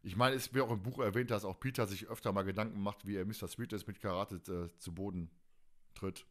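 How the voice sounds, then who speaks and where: A man co-hosting a podcast, recapping in a casual, animated, stop-and-start way and often turning to the others. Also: on the low side, fairly quick. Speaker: podcast host. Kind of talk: casual fan podcast discussion.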